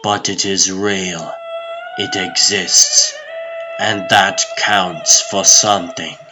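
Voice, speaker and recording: A man voicing a solemn, world-weary sorcerer in a comic dub, speaking gravely and with portentous deliberation. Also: deep voice, wildly swooping pitch, takes it slowly, over music, heavily acted, low-quality recording. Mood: solemn, resigned. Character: solemn, world-weary adult male sorcerer serving a god. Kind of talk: comic dub dramatic monologue.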